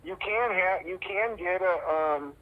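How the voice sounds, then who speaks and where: Middle aged guy on a lo-fi phone call, voice slightly high, talks casual and kinda halting. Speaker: caller in a personal phone conversation. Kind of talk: casual private phone chat. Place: telephone call. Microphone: telephone. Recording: dull lo-fi phone call recording.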